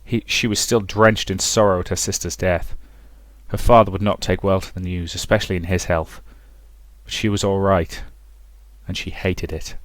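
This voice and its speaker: A man's voice: low voice, fairly even intonation, normal speed, dry recording. A man narrating a visual novel as a let's play host, reading the story dramatically.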